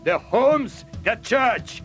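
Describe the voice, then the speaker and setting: A man's voice: extremely high pitch, expressive, extremely slow, fairly bright tone, faint music behind, heavily acted. An actor playing a proud, bitter older Okinawan businessman in an audio drama, threatening in broken English. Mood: threatening, gloating.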